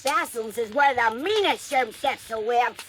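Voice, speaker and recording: A woman's voice: on the high side, slow, bright, forceful tone. A woman voicing a gleefully mean, childlike germ who boasts, in an old radio commercial. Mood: gleeful boasting. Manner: boastful, gloating, mischievous. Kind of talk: 1950s radio commercial character acting. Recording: noisy vintage radio recording with clicks.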